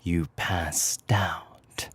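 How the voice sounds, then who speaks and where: Casting: male performer as possessive yandere suitor for ASMR roleplay, low breathy whisper, slow and politely ominous. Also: steady tone, a bit bright, no echo, heavily acted. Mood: softly menacing.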